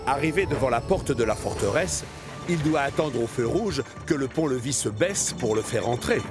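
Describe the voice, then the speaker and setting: A man narrating a scripted children's cartoon in an expressive storytelling way. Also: medium pitch, normal speed, music in the background.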